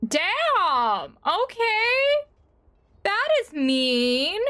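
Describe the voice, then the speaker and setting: A woman's voice: very high voice, huge pitch swings, extremely slow, sharp tone. A woman streamer giving casual commentary as she plays a game, thinking aloud in a hesitant way.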